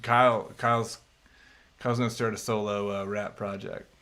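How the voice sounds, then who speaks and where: A man's voice: fairly low pitch, flat delivery, moderate pace, sharp tone, a little hiss or hum. A man in his thirties, co-hosting a casual chat and reading viewer comments aloud in a laid-back, chatty way. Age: 30s. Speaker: co-host.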